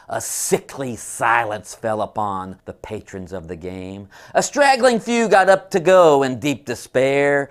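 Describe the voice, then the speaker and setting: Theatrical man reciting verse at a slow pace. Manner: theatrical, swings from hushed whispers to high shouts; drawn-out, deliberate emphasis. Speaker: sports columnist reciting a poem. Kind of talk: dramatic poetry reading.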